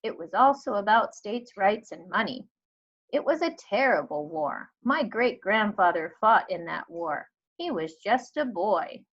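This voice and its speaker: Woman reading as slow, dramatic elderly lady librarian. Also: medium pitch, very animated, a bit bright. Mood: reminiscing. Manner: slow, drawn-out, emphatic phrasing. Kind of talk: storybook read-aloud. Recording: dull lo-fi recording.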